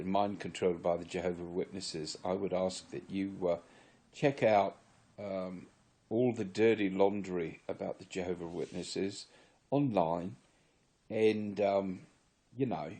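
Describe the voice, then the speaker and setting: A man's voice: sits low, warm tone. A man in his sixties from Virginia, speaking in a put-on English accent, gives a rambling, earnest and wry solo testimony. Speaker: former member giving testimony. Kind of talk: solo confessional monologue to camera.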